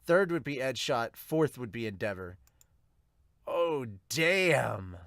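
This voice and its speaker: Excitable, sweary man reacting. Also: medium pitch, slow, dry recording, studio quality. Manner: profane, exclamatory. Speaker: podcast host. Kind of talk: excited casual reaction while reading manga aloud.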